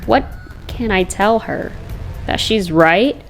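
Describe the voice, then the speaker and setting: A woman's voice: medium pitch, expressive, takes it slowly, gravelly, soft background music. A woman voicing a young female vigilante in a comic dub, wry and compassionate, reflecting in inner narration. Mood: earnest, compassionate.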